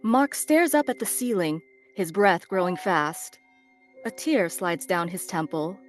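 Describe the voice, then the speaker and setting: A woman's voice: lowish voice, expressive, unhurried, faint music behind. A woman reading stage directions as scripted narration for a drama.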